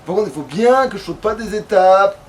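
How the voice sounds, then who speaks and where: A man's voice: high-pitched, expressive, normal speed. A man doing casual let's play commentary, slangy and sweary, thinking aloud as he plays. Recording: noisy home gaming capture.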